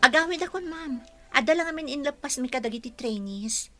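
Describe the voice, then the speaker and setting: Very high, bright Ilocano female employee acted by a woman. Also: expressive, a little fast, no echo. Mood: cheerful. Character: eager, deferential female employee. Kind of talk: Ilocano radio drama acting.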